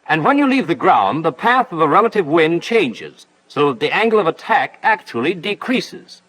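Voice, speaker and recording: An actor playing a breezy instructor, narrating a 1940s pilot training film in a folksy, chummy way. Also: male voice, on the high side, very animated, normal speed, a bit bright, poor audio. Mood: folksy, playful. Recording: 1940s film soundtrack with faint noise.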